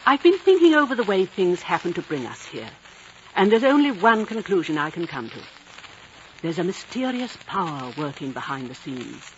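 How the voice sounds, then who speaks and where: An actress playing a prim, stubborn, pious female missionary in a 1950s radio drama, brisk, righteous and self-assured. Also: fairly low pitch, big pitch swings. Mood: knowing, resolute. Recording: dull, noisy old radio recording.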